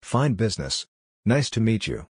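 A man reading short scripted practice phrases as a lesson narrator, in a measured, clearly enunciated way. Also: on the low side, sing-song, noisy background. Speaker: Morse code practice narrator. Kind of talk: scripted phrase read-out for language drill.

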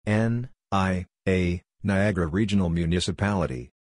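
A man reading short scripted items in a plain, deliberate announcing manner, spelling out letters and naming places. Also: sits low, darkish tone, no echo, clean studio sound. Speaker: Morse code practice narrator.

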